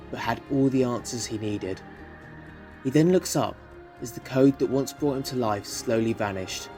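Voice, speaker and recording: Narrator for audio drama: man, mid-pitched voice, scripted read over a musical bed. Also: warm tone. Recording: music under the voice.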